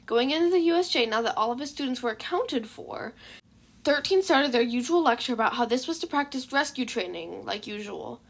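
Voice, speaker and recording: A woman reading fan fiction aloud as an expressive story narrator. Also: on the high side, a little fast, gravelly.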